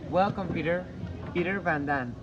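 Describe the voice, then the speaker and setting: A man playing a kindly, courteous, steady father in an amateur dramatic reading. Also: a little high, normal speed, highs cut off, heavy background noise, natural voice. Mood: welcoming, courteous.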